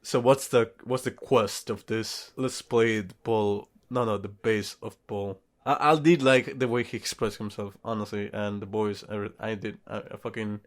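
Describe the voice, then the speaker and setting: A man's voice: lowish voice, fairly even intonation, normal speed, hardly any pauses. A man co-hosting a podcast, giving blunt, informal opinions on films in casual conversation. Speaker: podcast co-host. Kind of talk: casual film review chat.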